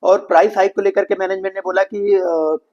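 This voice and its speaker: A dull, lo-fi recording of an Indian man with a slightly high voice. He talks quickly as he explains financial results, addressing his viewers as friends. English business terms are mixed into his speech. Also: fairly even intonation, a little mellow. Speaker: financial analysis presenter. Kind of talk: explainer commentary on an earnings call.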